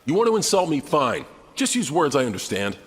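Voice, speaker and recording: An actor playing an irreverent, crude wisecracking fighter in a fighting game, flippant and slangy, with reverb and noise. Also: male voice, on the high side, big pitch swings, a little fast. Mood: mocking. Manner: flippant, casual. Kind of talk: fighting game character dialogue.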